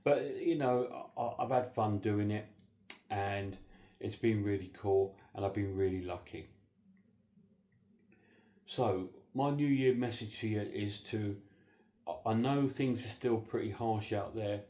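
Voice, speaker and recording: Man, middle-aged, low voice, warm, rambling and earnest, bit bunged up. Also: a little slow, pauses often. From southern England. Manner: rambling, earnest, hesitant with many fillers. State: slightly congested. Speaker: horror film channel host. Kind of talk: casual holiday video message.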